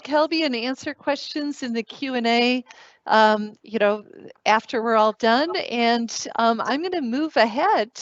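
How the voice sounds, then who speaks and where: An upbeat woman hosts an online career fair and keeps the questions coming. Her voice is fairly high. It comes through dry, dull video call audio. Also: no room sound. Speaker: host. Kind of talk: moderating a virtual career fair.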